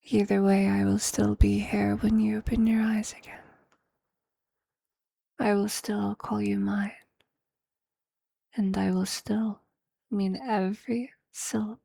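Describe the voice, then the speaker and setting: A performer voicing a tender, possessive, motherly vampire in a soft, breathy whispered ASMR roleplay, speaking slowly and intimately in formal, poetic phrases. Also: mid-range voice, flat delivery, no echo, exaggerated voice. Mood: soothing, devoted.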